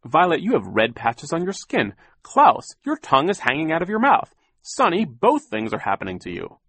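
A fussy, droning banker, as a male storybook reader puts him on, talking at length in a raised, fussy voice. Underneath is a low, gravelly man's voice, recorded close and dry. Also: wildly swooping pitch, moderate pace, fairly bright tone, no room sound, exaggerated voice.

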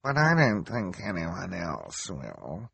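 A man voicing a nasty, clever henchman in a storybook, with a creaky, raspy voice and a slow, exaggerated, swooping delivery. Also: sits low, wildly swooping pitch, fairly bright tone, dry recording, exaggerated voice. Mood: sly. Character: nasty, clever bald long-nosed henchman.

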